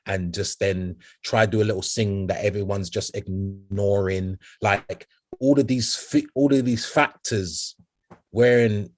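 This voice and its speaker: A man with a nasal voice co-hosting a music podcast in casual, animated chat. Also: fairly low pitch, measured pace. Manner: animated, repeats "do you get me". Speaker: podcast co-host.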